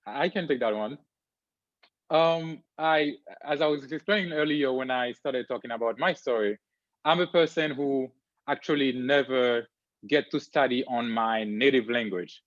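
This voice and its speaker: A man's voice: mid-range voice, measured pace, dry recording. Male diplomat, explanatory and earnest, muffled dry recording. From Haiti. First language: Haitian Creole. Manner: earnest, explanatory, with many fillers. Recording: dry, dull lo-fi sound.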